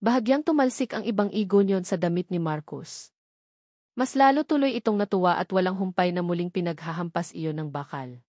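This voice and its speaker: A woman's voice: lowish voice, lively intonation, fairly quick, a little mellow, no room sound, clean studio sound. A woman narrating a dramatic story from a script as an audiobook reader.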